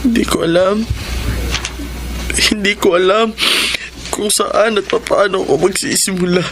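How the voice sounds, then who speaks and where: An actor playing a gentle, grieving young man in a Filipino radio drama, speaking earnestly and emotively over music. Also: sits high, steady tone, a little slow, background noise. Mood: downcast. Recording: music under the dialogue.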